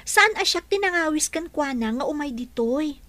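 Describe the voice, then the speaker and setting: A woman's voice: high voice, lively intonation, moderate pace, a bit bright, dry recording. A woman playing a young employee who answers her boss politely but defensively. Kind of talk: radio drama acting.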